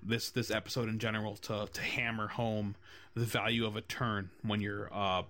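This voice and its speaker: A man's voice: lowish voice, little pitch movement, moderate pace, fairly bright tone. A man hosting a casual game design podcast, talking in a loose, rambling way with many fillers. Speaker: podcast host.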